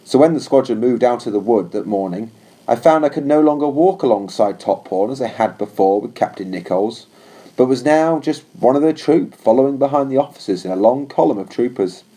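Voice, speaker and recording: A man's voice: mid-range voice, lively intonation, fairly quick, warm tone. A man reading a scripted story aloud as narrator of an audiobook. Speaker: audiobook reader.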